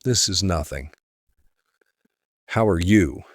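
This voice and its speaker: An actor playing a weary, gentle and steadfast priest in an audio drama, speaking solemnly and earnestly. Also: male voice, low-pitched, expressive, takes it slowly, breathy edge, no room sound, studio quality, exaggerated voice.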